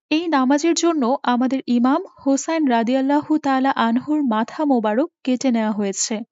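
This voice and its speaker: A woman playing a gentle, devout, long-suffering mother in a moral cartoon, tender and preachy. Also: high voice, no echo. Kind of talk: Islamic moral cartoon voice acting. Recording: muffled, with music behind.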